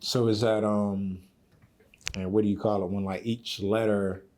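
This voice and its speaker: Man, lowish voice, relaxed, casual podcast host, lots of yeahs. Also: measured pace. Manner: laid-back, frequent affirmations. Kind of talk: casual interview chat.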